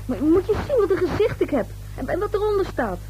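High-voiced, petulant young daughter. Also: female voice, big pitch swings, fast, warm tone, a little hiss or hum, rough recording. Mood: upset, self-conscious. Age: young adult. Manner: petulant, cheeky. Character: touchy, defiant young daughter, easily upset. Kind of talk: radio play acting.

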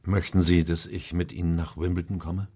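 Victorian doctor, very deep, husky, calm, courteous, measured, radio drama. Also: male voice. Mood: concerned. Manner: measured, polite, questioning. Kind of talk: German radio crime drama acting.

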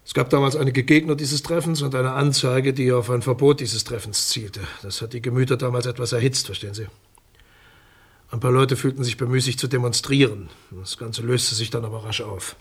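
A male prosecutor in a radio crime drama. He has a mid-pitched voice and phrases things formally and carefully, with a dismissive, bureaucratic condescension. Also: fairly even intonation, a little fast, breathy edge. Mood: defensive, formal. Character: dismissive, formal male state prosecutor defending his findings.

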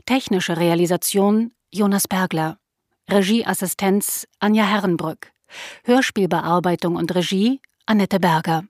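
Unhurried woman announcer, dry sound. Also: fairly low pitch, no room sound. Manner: clear, neutral listing of names. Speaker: announcer reading radio play credits. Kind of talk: formal scripted announcement.

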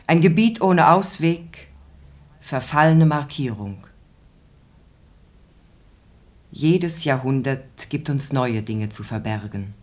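Low-voiced, pensive woman. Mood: grave longing. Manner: measured, lyrical, grave. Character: pensive woman musing on a loved one's hidden heart. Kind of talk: poetic radio play monologue.

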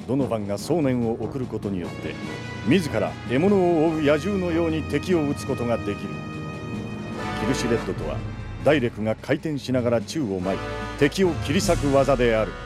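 A man's voice: mid-range voice, close to an everyday voice. A man narrating an audio drama in a scripted, dramatic style. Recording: loud music behind.

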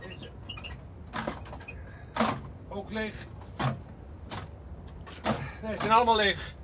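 An actor playing a plain-spoken junior police detective in a radio play, matter-of-fact with a slight grumble. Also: male voice, high-pitched, fairly even intonation, very slow. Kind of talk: Dutch radio play acting. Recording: noisy radio play with effects.

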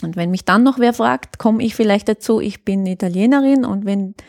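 A woman's voice: mid-range voice, fairly quick, vocal fry, a little mellow, studio quality. Woman chatting in an interview, thinks as she explains, no room echo. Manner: thoughtful, explanatory, searching for words. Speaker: interview guest, youth worker. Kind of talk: reflective conversational interview.

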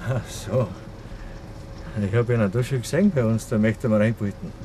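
An actor playing a wary Bavarian village garage owner in a dialect radio play, husky and halting as he probes. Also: fairly low pitch, background noise, close to an everyday voice. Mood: folksy, curious.

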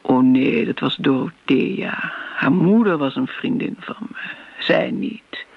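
A woman playing a prim, easily flustered older lady in a radio drama, with a gravelly, husky voice. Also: very deep voice, expressive, takes it slowly, full character voice. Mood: bewildered. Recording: muffled, lo-fi radio recording.

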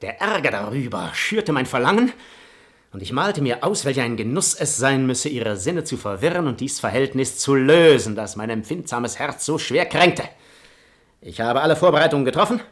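A man's voice: medium pitch, animated, normal speed, natural voice. An actor playing an arrogant, seductive nobleman in a classical radio play, eloquent, theatrical and self-assured. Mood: self-satisfied, scheming.

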